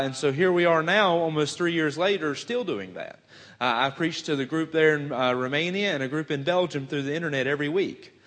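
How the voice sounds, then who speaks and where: Southern preacher in a reverberant church. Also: male voice, a little high, fairly bright tone. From Virginia, US. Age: 20s. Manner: folksy, frequent "uh" fillers, self-deprecating asides. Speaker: missionary preacher. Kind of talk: sermon introduction. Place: church sanctuary. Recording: long hall reverb.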